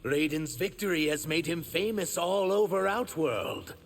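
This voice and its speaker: A man's voice: fairly high pitch, measured pace. A man voicing an earnest young shapeshifting reptilian warrior in human form for a video game. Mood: wry, sincere. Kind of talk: video game character dialogue.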